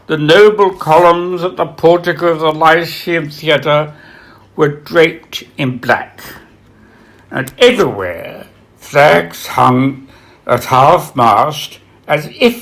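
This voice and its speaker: A man reading literary biography aloud as its author, in a solemn, measured way with dramatic pauses. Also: a little high, animated, a bit bright. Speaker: author reading from own book.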